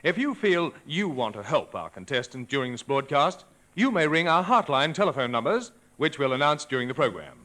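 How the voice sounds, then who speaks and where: Lively, bright Australian male radio announcer. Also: a little high, fairly quick, hardly any pauses. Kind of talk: scripted 1950s radio announcing. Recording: worn old radio transcription disc.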